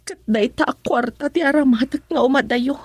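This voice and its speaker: High-voiced young Ilocano daughter. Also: female voice, moderate pace, a little mellow, husky, no room sound. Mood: earnest, sad. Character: young grown daughter, gentle and devoted to her elderly father. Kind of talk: radio drama acting.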